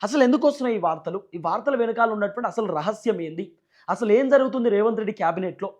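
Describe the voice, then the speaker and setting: A man's voice: sits high, expressive, quick pace, darkish tone. A man with a Telangana Telugu accent giving emphatic, repetitive political news commentary as a channel host, often in rhetorical questions.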